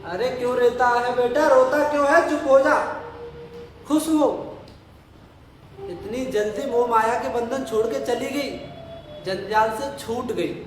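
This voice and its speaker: A man's voice: very high-pitched, unhurried, long pauses. An actor playing a drunken, self-justifying village father in his sixties in an audio play, emphatic and theatrical. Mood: consoling, righteous. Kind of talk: stage-style Hindi audio play acting. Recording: echoey room, faint background noise.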